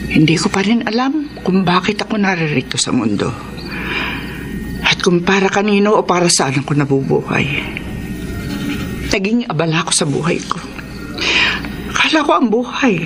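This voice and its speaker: A woman's voice: on the low side, lightly acted. A woman acting an imperious, hot-tempered wealthy lady in her sixties in a Tagalog radio drama. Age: 60s. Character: wealthy, hot-tempered sixty-year-old unmarried lady of the house. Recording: radio drama with music underneath.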